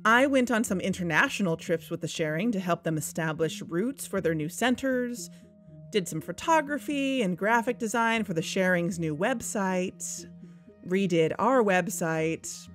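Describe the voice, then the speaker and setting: A woman playing a wry, earnest investigator who co-hosts a podcast, narrating in a conversational, confiding way. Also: on the low side. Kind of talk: conversational scripted audio drama narration. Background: background music.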